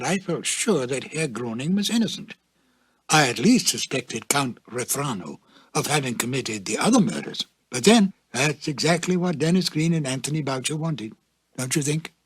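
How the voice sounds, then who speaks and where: A man hosting and narrating a radio drama series from a script. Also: medium pitch. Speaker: host and narrator.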